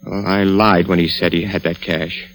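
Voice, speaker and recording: An actor playing a guilt-ridden, frightened husband in trouble, with a troubled, confessional 1940s radio delivery. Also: male voice, sits low, level tone, normal speed, fairly bright tone, poor audio. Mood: ashamed confession. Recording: vintage radio recording with music.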